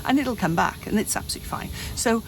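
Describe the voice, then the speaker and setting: Gravelly woman in her late fifties. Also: fairly high pitch, lively intonation, quick pace, slight background noise. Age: 50s. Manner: rambling, frequent restarts and self-corrections. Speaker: vlogger. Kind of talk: candid personal vlog. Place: home, hot room.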